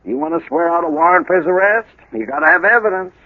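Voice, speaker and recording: An American actor playing a folksy, worried small-town deputy sheriff who recounts a tale in a radio drama. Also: male voice, fairly high pitch, fast, no room sound, close to an everyday voice. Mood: placating. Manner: folksy, colloquial storytelling. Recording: lo-fi old radio broadcast.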